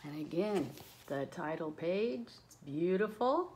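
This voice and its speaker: A woman reading a picture book aloud with expressive, dramatic emphasis, lingering on words and adding casual asides. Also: lowish voice, measured pace, slight background noise. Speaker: read-aloud storyteller.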